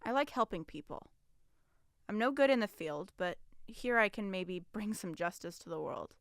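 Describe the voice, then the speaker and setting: A woman's voice: mid-range voice, expressive, moderate pace, dry recording, studio quality, lightly acted. A woman playing an earnest, guarded police interrogator in an audio drama, with a firm, businesslike and strained manner. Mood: firm, probing.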